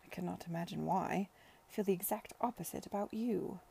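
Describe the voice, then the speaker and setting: A woman voicing a despondent young lady with a sharp tongue. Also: fairly low pitch, brisk, vocal fry, breathy edge, full character voice. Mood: weary. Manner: weary, tart.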